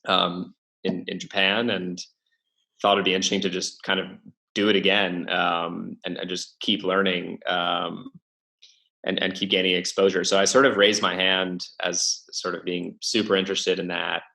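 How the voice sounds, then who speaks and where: Young male guest, low and gravelly, halting with lots of ums. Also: level tone, sharp tone, rough recording. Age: young adult. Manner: hesitant, many fillers and restarts. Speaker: podcast guest, venture investor. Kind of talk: casual interview talk.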